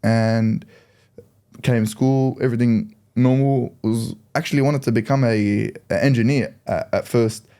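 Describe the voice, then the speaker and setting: A man in his twenties, a courier from Lebanon who grew up in Australia, answering interview questions in an easygoing, halting way. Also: medium pitch, steady tone, dark tone, clear, resonant tone. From Lebanon, raised in Australia. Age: 20s. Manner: easygoing, laughs along, halting. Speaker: courier, guest.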